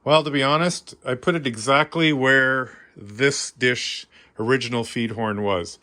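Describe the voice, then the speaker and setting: A Canadian man gives an informal tour as a hobbyist, speaking off the cuff. His voice is mid-pitched and notably bright and forward. He comes across as modest and self-deprecating, explaining things casually. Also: steady tone, a little slow. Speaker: amateur radio hobbyist giving a tour. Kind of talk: casual unscripted walkthrough.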